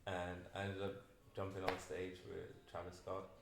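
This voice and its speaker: Deep, flat-voiced young host in a roomy space. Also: male voice, moderate pace, gravelly. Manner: laid-back, rambling, heavy use of "like". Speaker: podcast host. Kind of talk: casual podcast chat. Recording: roomy room with faint noise.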